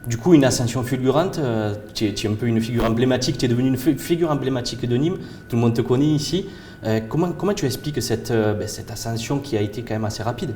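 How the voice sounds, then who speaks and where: A man's voice: mid-range voice, fast, warm tone, slight background noise. A man hosting a local video series as a real estate adviser, introducing it enthusiastically and stumbling through his interview questions.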